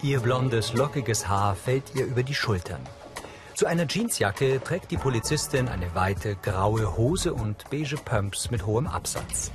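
A man's voice: medium pitch, animated, a little slow. A man reading scripted narration as the audio describer of a TV episode. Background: soundtrack music.

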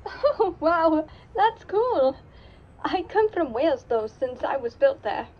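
A woman playing a bright, friendly diesel girl. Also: high-pitched, sing-song, slow, dull audio, exaggerated voice. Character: friendly young female diesel engine. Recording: music underneath.

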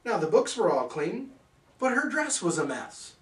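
Male storyteller, theatrical, unhurried, very animated intonation, for rhyming bedtime parody; roomy, slightly distant sound. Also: high voice, noisy background. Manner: theatrical, measured. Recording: roomy, somewhat distant microphone.

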